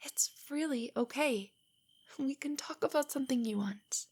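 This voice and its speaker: A woman acting a breathy, shy nerdy girlfriend. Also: high-pitched, moderate pace. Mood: insecure, subdued. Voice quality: soft, breathy, often whispery. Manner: hesitant, stammering, rambling. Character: shy, nervous, nerdy young girlfriend, self-doubting and affectionate. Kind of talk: intimate roleplay audio drama.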